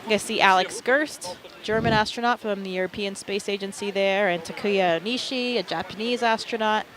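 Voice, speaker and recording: An enthusiastic launch commentator who speaks Swedish talks over a live broadcast feed. A murmuring crowd clearly fills the background, and the voice sits at a middle pitch. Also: moderate pace. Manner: enthusiastic, explanatory.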